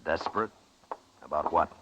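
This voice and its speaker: A man's voice: rumbling low, normal speed, noisy background. A man playing a stern, unbending American frontier marshal in a radio western, speaking tersely and with plain authority. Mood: guarded, calm. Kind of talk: 1950s radio western acting. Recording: dull old radio recording.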